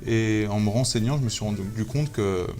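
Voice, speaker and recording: A man in his thirties from Algeria, a photographer guest telling his story in a relaxed interview, hesitant with many fillers and restarts. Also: on the low side, normal speed, faint music behind. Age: 30s. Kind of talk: casual interview talk.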